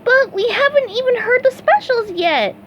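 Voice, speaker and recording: A woman voicing an eager, cheerful young male sea sponge in a storybook reading, high and excitable. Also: moderate pace, highs cut off, slight background noise. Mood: earnest.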